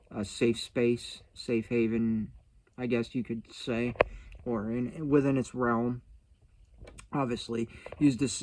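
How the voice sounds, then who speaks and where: A man with an average-pitched voice talks casually to the viewers of his online channel. He rambles in a folksy, unscripted way, often playing himself down as he goes. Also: darkish tone, slight background noise, low-quality recording. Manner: rambling, self-deprecating, folksy. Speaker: YouTube channel host. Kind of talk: casual vlog chat to viewers.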